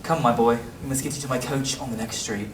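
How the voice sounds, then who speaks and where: An actor playing a kindly, genteel gentleman in a radio play on stage. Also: mid-range voice, steady tone, quick pace, lightly acted. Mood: kindly, grateful. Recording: echoey stage from far off, faint noise.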